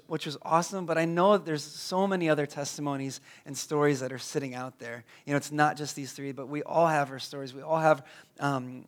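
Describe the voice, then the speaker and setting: A hoarse, raspy-voiced man in his thirties, a pastor praying and then preaching, who often stumbles and repeats words. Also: fairly high pitch. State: hoarse from a cold. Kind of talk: prayer and sermon.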